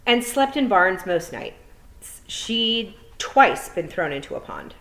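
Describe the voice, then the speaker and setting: A woman's voice: mid-range voice, very animated, slow pace, a bit bright, poor audio. Woman teacher reading aloud to kids. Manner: chatty, encouraging, talks directly to children. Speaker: teacher giving a read-aloud. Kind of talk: friendly classroom talk and storybook reading. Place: home.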